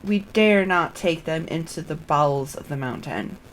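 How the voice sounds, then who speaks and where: A woman reading the lines of an earnest young nobleman in a fantasy game, with formal, measured phrasing. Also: low-pitched, a little hiss or hum, pitched below the performer's own voice, close to an everyday voice, low-quality recording.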